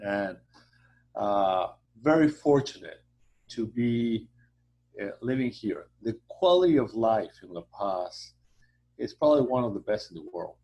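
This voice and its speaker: A man with a Mexican accent, a native Spanish speaker, being interviewed as a hotel association president, speaking haltingly with many fillers and a warm promotional tone. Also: lowish voice, animated. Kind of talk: conversational interview.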